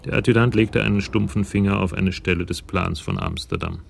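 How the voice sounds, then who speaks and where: A man reads scripted narration for a radio crime drama. His voice is very low and gravelly, with little melody. The storytelling is sober, over faint ambience. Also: brisk, a little hiss or hum.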